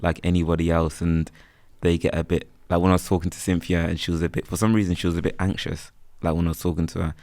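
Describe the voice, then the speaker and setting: A young man with a Birmingham accent, chatting in a relaxed, rambling way as an interview guest. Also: deep voice, quick pace, no echo. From England. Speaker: guest, freelance photographer. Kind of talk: casual interview chat.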